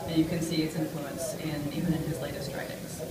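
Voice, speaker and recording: A woman's voice: sits low, little pitch movement, fairly quick, a loud crowd. A woman in her thirties answering audience questions in an articulate, enthusiastic, off-the-cuff academic style. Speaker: lecturer taking audience questions. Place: hotel conference room. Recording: roomy, distant mic, audience noise.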